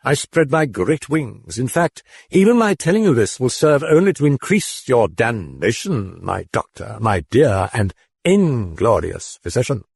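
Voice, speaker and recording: A man voicing a sardonic demon possessing a girl, speaking in a high, mocking, falsely cordial voice. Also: sing-song, a little slow, gravelly, a little mellow, husky, no echo, studio quality, full character voice.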